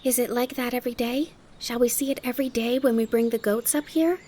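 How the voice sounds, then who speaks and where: A woman voicing an excitable, curious little girl in a storybook, full of exclamations and eager questions. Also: a little high, a little fast, a little hiss or hum, pitched above the performer's own voice, close to an everyday voice.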